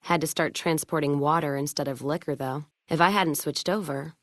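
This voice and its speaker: A woman's voice: low-pitched, steady tone, moderate pace, no echo, clean studio sound. A woman playing a tough, hard-drinking, world-weary caravan owner in a video game, blunt, sardonic and foul-mouthed. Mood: weary, wry. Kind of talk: video game character dialogue.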